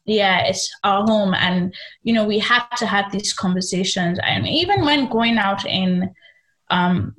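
A young woman guest with a high-pitched voice, talking earnestly and reflectively in a casual interview. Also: unhurried, a bit bright, low-quality recording. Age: young adult. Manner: earnest, reflective, with many fillers.

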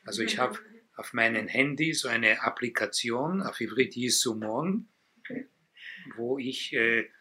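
An older man from Israel, a native Hebrew speaker who is retired and teaches Hebrew, talking in a relaxed, hesitant way as a guest in an interview. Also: medium pitch, husky. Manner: slow, thoughtful, with frequent hesitations. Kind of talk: casual interview talk.

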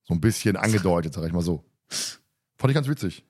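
A man's voice: sits low, animated, quick pace, dark tone, slight background noise. A man co-hosting a casual discussion, talking in a colloquial, teasing way. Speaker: podcast co-host. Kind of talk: casual discussion of an audio drama.

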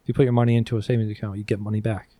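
A man's voice: fairly low pitch, flat delivery, very fast, dark tone, slight background noise. A man chatting casually as a podcast co-host. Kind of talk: casual chat.

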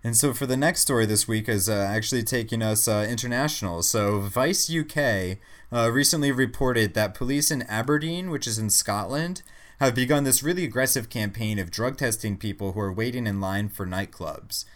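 A man's voice: mid-range voice, moderate pace, fairly bright tone. A man co-hosting a podcast, giving casual news commentary in a conversational, restarting way. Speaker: podcast co-host.

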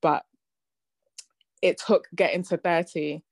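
A woman in her thirties talks through her thoughts on a podcast, pausing and restarting as she reflects. Her voice sits low for a woman. The sound is dry and close, with no room around it. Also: fairly even intonation, creaky, no echo. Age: 30s. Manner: reflective, thinks aloud with fillers and restarts. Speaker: podcast host. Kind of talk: casual conversation.

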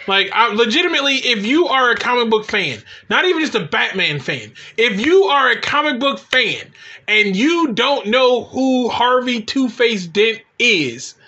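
Man, forties, high-pitched, forceful bright tone, emphatic rambling casual talk, sweary, slightly muffled recording. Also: lively intonation, measured pace. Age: 40s. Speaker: co-host of a comic book talk show. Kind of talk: casual opinionated chat.

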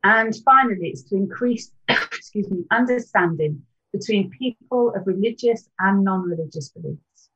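Husky-voiced woman hosting a panel. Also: mid-range voice, fairly bright tone, dull audio. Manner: measured, welcoming presenter tone with throat-clearing. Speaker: host of an interfaith panel. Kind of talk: presenting and leading a short prayer.

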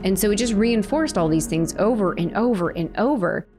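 A woman giving an earnest, reflective personal testimony about her faith, pausing and restarting as she speaks. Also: mid-range voice, lively intonation, over music. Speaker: interviewee sharing her faith story.

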